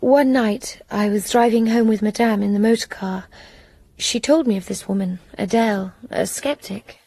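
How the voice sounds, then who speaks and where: A frightened young English woman, played by an actress, telling her story in a soft, hushed voice. The tone is dark and breathy, and the dry, close sound suits a radio drama. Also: medium pitch, moderate pace, no echo, natural voice. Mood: recounting. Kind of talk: radio drama narration and acting.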